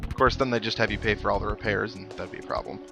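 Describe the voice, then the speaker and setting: A man's voice: fairly low pitch, steady tone, fast, gravelly. Man chatting over video game music. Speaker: let's play host. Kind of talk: casual video game commentary. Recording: game music playing behind the voice.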